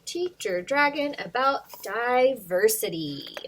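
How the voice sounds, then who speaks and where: A woman's voice: on the high side, lively intonation, slow pace, a little hiss or hum. A woman speaking simply and encouragingly to children as an informal story time reader and teacher. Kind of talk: informal talk and storybook read-aloud for children.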